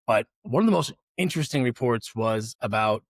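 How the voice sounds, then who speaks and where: A man's voice: mid-range voice, lively intonation, fairly quick, no room sound, clean studio sound. A man hosting a business podcast, chatting upbeat and explaining things straight to his listeners. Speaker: podcast host. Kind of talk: casual interview and ad read.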